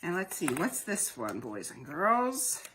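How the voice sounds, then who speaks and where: A woman's voice: on the low side, big pitch swings, takes it slowly, background noise. A woman hosting a children's storytime, explaining a science activity simply and putting questions to young children. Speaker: library storytime host for children.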